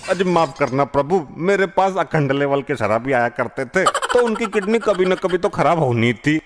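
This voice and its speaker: A man's voice: fairly high pitch, expressive, normal speed, noisy background, close to an everyday voice. A man voicing a crooked cartoon doctor. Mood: excusing. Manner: excusing, then begging. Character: crooked male doctor facing judgment.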